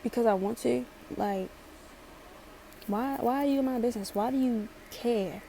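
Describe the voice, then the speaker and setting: A woman's voice: a little high, normal speed, vocal fry, dark tone, slight background noise, low-quality recording. A chatty young woman from Maryland with a light Baltimore accent, rambling sassily through a casual storytime. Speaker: vlogger.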